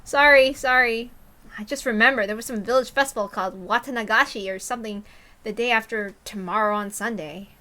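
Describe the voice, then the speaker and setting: A woman's voice: on the high side, brisk, bright, forceful tone. A woman reading a story aloud expressively, breaking into casual, self-interrupting asides. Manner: self-interrupting, openly flustered over mistakes.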